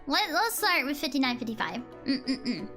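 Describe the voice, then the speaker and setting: Young female streamer, high pitched, slightly hoarse, reads aloud with stumbles, light music underneath. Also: very animated, normal speed, fairly bright tone. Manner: chatty, giggly, stumbles over words while reading. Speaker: VTuber streamer. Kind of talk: live stream, reading aloud.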